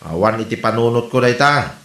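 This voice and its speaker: A man's voice: medium pitch, expressive, brisk, a bit bright, natural voice. A man plays a nosy, slippery married buddy in an echoey room. His male voice swings animatedly in comedy-drama dialogue with an Ilocano Filipino accent. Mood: defensive.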